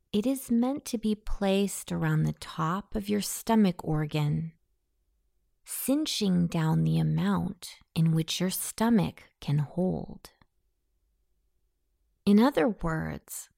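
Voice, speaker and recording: A woman guiding a scripted hypnosis meditation in a soothing, softly breathy delivery. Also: fairly low pitch, no room sound, studio quality.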